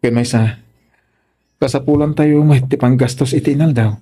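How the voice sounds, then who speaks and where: A man with a Filipino accent playing a hardworking, husky farmer and family man in a radio drama. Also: medium pitch, fairly even intonation, normal speed, mellow, natural voice. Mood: steady, reassuring. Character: hardworking, reassuring farmer husband and father.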